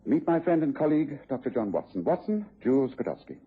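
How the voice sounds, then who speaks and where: An actor playing a precise, coolly analytical English gentleman detective in a radio drama, with a Received Pronunciation accent. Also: mid-range voice, sing-song, quick pace, slightly rough. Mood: courteous. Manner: precise, incisive, formal. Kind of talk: BBC radio drama acting. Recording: muffled tinny old radio recording.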